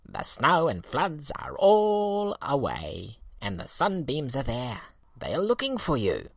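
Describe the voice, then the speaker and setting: Man voicing a kindly, very animated little earthworm. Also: mid-range voice, slow, creaky, dry recording, pitched above the performer's own voice, full character voice. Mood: pleased, encouraging. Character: small kindly earthworm, earnest friend to a flower baby.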